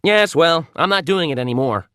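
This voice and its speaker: A woman's voice: deep voice, lively intonation, moderate pace, a bit bright, slight background noise, pitched below the performer's own voice. A woman voicing a cocky, hot-tempered monkey warrior in a children's storybook, brash and indignant. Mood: defensive. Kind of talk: children's audiobook character voice.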